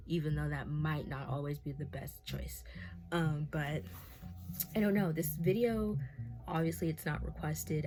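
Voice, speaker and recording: A young woman of about twenty, with a low-pitched voice, gives a candid update to her camera from home. She sounds nervous and rambles, falling back on fillers and restarting her sentences as she speaks openly about her life. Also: darkish tone. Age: 20s. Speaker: vlogger.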